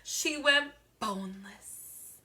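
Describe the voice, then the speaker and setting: A woman reading a picture book aloud to young children in an expressive teacher's manner, drawing words out. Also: a little high, slow. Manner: expressive, asks the listener questions, gasps, draws words out.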